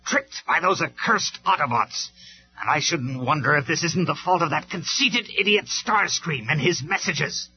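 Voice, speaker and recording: A man's voice: medium pitch, sing-song, normal speed, voice pushed up, close to an everyday voice. A man voicing a ruthless male robot warlord who snaps and barks his orders in a storybook reading. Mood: raging. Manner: barking, snapping orders. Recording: slightly dull lo-fi recording with faint music.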